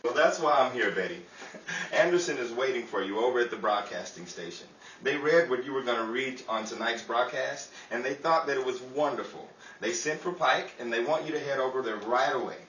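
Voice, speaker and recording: A man's voice: mid-range voice, brisk, fairly bright tone, natural voice. A man playing an amused, concerned friend in a 1930s-set comedic radio play, stammering and exclamatory. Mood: reassuring. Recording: echoey, distant mic, faint noise.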